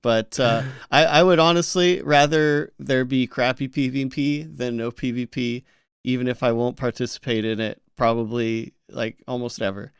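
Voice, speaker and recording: A man's voice: mid-range voice, steady tone, moderate pace, clean tone, no room sound, clean studio sound. A man co-hosting a casual gaming discussion, with a playfully teasing way of talking. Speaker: podcast co-host.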